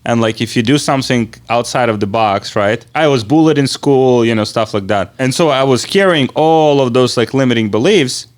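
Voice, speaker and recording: Halting, rambling male interviewer. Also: mid-range voice. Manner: halting, rambling phrasing with repeated filler words. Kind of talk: casual conversational interview.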